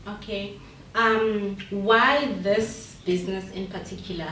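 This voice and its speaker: A woman's voice: mid-range voice, takes it slowly, slight background noise. A South African woman, a business founder, talking casually and confidently as an interview guest, mixing Zulu into her English. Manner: relaxed, self-assured, mixes Zulu with English. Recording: echoey room, mic far off.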